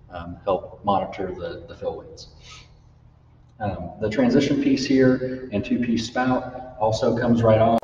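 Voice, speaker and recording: Young guy demoing a machine, lots of ums, mellow lowish voice, far from the mic in a big echoey hall. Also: slight background noise. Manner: casual, halting, many ums and uhs. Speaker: product demonstrator. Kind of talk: live product demo. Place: large trade-show style hall, indoors. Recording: distant echoey hall, dull sound.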